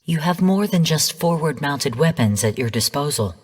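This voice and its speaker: An actress playing a composed, analytical female artificial intelligence who gives formal, measured mission briefings in a video game. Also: low-pitched, expressive, breathy edge. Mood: cautionary. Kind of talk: scripted game narration. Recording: long hall reverb on voice.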